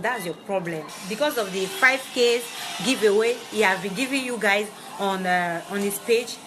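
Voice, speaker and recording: A woman partly of Nigerian background, not a native English speaker, ranting bluntly to camera in halting English with many restarts. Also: medium pitch, noisy background. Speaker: vlogger. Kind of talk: direct-to-camera rant. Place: Ivory Coast.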